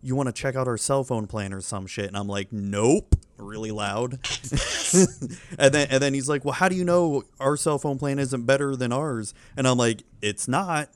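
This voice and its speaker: A man's voice: mid-range voice, moderate pace, clear, resonant tone, no room sound. A man in his thirties, a podcast co-host with kids, chatting casually and telling rambling, profane stories. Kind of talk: casual chat.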